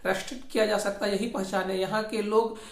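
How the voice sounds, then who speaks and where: A speaker with a Jharkhand accent giving informal political commentary, often repeating and rewording phrases in a halting way. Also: medium pitch, flat delivery. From Jharkhand, India. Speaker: host of a regional news commentary channel. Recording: echoey, roomy sound.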